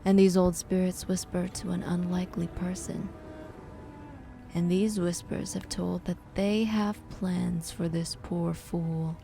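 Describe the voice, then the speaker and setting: A woman playing a solemn, ominous storyteller, narrating a dark audio drama in a measured, foreboding and sometimes hushed way. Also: fairly low pitch, flat delivery, vocal fry, soft background music.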